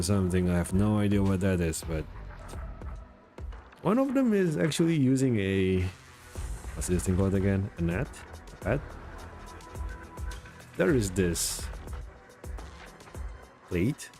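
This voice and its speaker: A man's voice: lowish voice, sing-song, measured pace, pauses often, warm tone. A man livestreaming a game in casual chat, rambling and self-deprecating as he searches for words, with game music behind him. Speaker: game streamer. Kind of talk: casual live stream chat.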